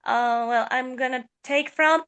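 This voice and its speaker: A woman's voice: sits high, fairly even intonation, unhurried, a bit bright, smooth. A woman soprano discussing singing technique on a podcast, speaking thoughtfully with many hesitations. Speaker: soprano singer.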